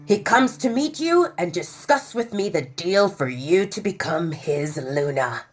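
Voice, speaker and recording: A woman voicing a stern male werewolf pack leader who gives cold, slow orders to his daughter. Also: on the low side, animated.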